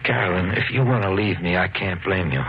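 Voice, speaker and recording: An actor playing a brooding, bitter husband under suspicion, ominously calm, in 1950s radio mystery style. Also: male voice, deep voice, brisk, highs cut off, lightly acted. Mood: pleading. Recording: noisy, band-limited old radio recording.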